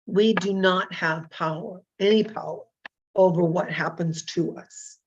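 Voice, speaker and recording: Hoarse fifty-something woman host, low-pitched, loose and chatty with restarts, muffled recording. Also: measured pace, slight background noise. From California. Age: 50s. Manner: rambling, self-deprecating, with frequent fillers and restarts. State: hoarse from illness. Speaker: hypnotherapist hosting a show. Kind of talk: casual solo talk show.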